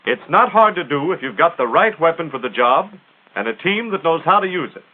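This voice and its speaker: High-pitched American man, vintage film narrator. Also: expressive, brisk. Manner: punchy, emphatic, instructional. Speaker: military training film narrator. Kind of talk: 1940s military training film narration. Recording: tinny narrow-band 1940s film soundtrack.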